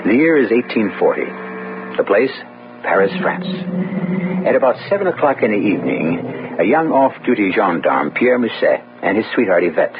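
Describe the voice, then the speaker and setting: A man acting as a genial but ominous storyteller, deliberate and theatrical, introducing a horror tale on old-time radio. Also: fairly low pitch, big pitch swings. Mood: ominous, inviting. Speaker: radio drama host and narrator. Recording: noisy, narrow-band old radio recording.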